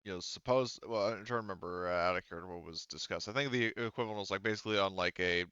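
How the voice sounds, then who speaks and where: Smooth-voiced man, halting tabletop player. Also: low-pitched, sharp tone, dry recording, lo-fi sound. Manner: halting, thinking aloud. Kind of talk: casual in-game narration and recap.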